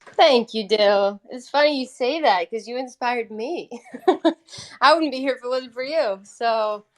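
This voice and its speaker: A woman's voice: fairly high pitch, unhurried. A woman from Italy, a native Italian speaker, chatting informally as a musician guest in an interview. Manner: informal, peppers talk with "like". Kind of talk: casual interview chat.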